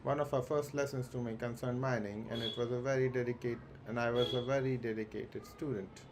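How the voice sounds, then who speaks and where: A man livestreaming a game, reading its dialogue aloud haltingly, with stumbles and self-corrections. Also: mid-range voice, steady tone. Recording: clearly noisy background.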